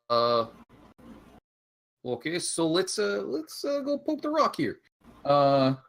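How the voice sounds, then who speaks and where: A man playing a tabletop role-playing game, chatty and friendly, getting animated when speaking for his character. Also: mid-range voice, creaky. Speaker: player. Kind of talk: casual tabletop play. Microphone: headset or desk mic on a voice call.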